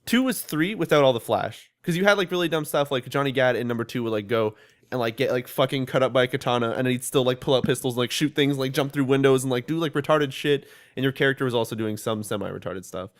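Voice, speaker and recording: Young podcast co-host, mid-pitched, rambling and profane, restarts a lot. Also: male voice, fast. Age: 20s. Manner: rambling, swears, frequent restarts. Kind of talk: casual gaming chat.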